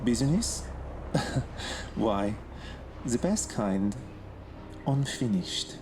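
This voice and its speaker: An actor playing a suave, menacing villain with a French accent and an ominously polite manner in an audio drama. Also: male voice, medium pitch, expressive. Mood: sly menace. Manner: unhurried, silky, ominously polite. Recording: clear background ambient noise.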